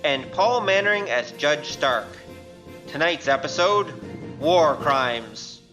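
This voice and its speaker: A man's voice: fairly high pitch, animated, slow, sharp tone, rough recording. A man announcing the scripted introduction to an audio drama. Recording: music behind the voice.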